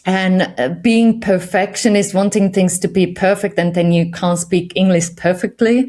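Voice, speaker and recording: A woman's voice: mid-range voice, steady tone, normal speed, mellow. A woman with a Finnish accent, a meditation guide speaking candidly and reflectively in an interview. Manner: reflective, candid, frequent light laughs. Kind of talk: conversational interview.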